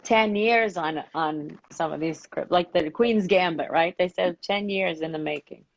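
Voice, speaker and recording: A woman hosting a casual interview show, encouraging her guest with quick reactions. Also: lowish voice, gravelly. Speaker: talk show host. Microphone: video call. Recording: dull lo-fi video call audio.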